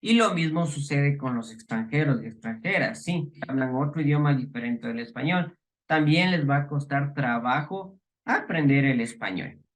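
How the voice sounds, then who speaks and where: A middle-aged man from the Ecuadorian highlands with that accent, giving a class lecture with many fillers, restarts and rhetorical questions. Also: expressive, moderate pace. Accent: Ecuadorian highland Spanish. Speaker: teacher.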